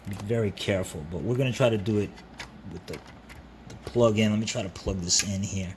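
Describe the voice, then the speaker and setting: Low-voiced man giving a casual repair walkthrough. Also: brisk. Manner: informal, repeats himself, talks viewer through steps. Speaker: repair tutorial host. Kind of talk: casual hands-on how-to narration. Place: indoors at a workbench. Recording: steady background noise.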